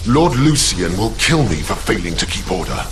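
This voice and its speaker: A man's voice: low voice, sing-song, normal speed, background noise. A man playing an imperious, menacing prison commandant who demands obedience, as a video game villain. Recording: loud music over voice.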